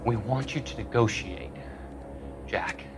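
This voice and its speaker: A man's voice: mid-range voice, takes it slowly, over loud music, lightly acted. A male actor playing a young medical student and war veteran in a video game, earnest and pleading.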